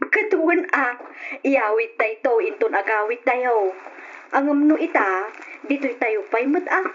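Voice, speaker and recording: A woman's voice: fairly high pitch, animated, unhurried, fairly bright tone. An actress playing a gambling, cheerfully greedy older mother with an Ilocano accent in an old-style radio drama. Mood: contented. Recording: dull lo-fi radio sound.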